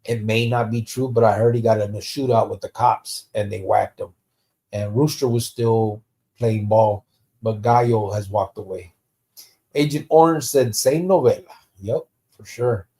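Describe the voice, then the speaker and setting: A man's voice: on the low side, steady tone, normal speed, warm tone. A man from the United States hosting a live stream in casual chat, blunt and profane, dropping slang into his English and reading out comments. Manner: slips Spanish slang into English. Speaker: podcast host.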